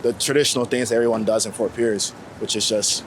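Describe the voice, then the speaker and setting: A man's voice: on the low side, fairly even intonation, fast, low-quality recording. Candid American man chatting over street noise. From United States, Florida. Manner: informal and candid, with slang and swearing. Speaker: street photographer being interviewed. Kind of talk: casual reflective chat. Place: outdoors on New York city streets. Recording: outdoor street noise with passing vehicles. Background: city traffic ambience.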